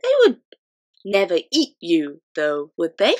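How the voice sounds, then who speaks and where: A woman's voice: on the low side, wildly swooping pitch, slow, darkish tone, heavily acted. A woman voicing a polite, nervous young girl in a storybook reading. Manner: polite, timid.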